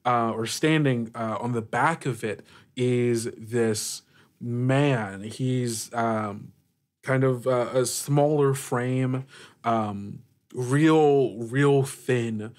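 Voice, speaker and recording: A man runs a tabletop game as game master. His voice sits at an average pitch and moves slowly, feeling its way through informal, improvised descriptions piece by piece. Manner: halting, searching, informal.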